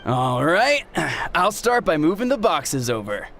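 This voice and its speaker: Cocky, higher-voiced young show-off. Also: male voice, big pitch swings, measured pace, a bit bright, a little hiss or hum, exaggerated voice. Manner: showing off, drawn out. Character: cocky, boastful young muscle-proud man. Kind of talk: video game voice acting. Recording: music playing behind the voice.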